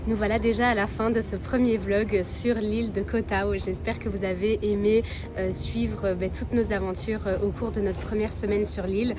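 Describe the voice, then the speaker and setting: A woman's voice: mid-range voice, fairly even intonation, normal speed. A woman from Belgium, chatting casually to viewers as a travel vlogger. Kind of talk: casual vlog chat to viewers.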